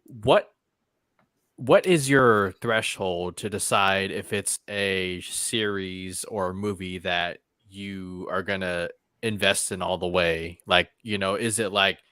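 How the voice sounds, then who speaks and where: A man's voice: deep voice, slow pace, sharp tone, smooth, no room sound, studio quality. A man hosting a casual chat show, talking informally and circling around his point.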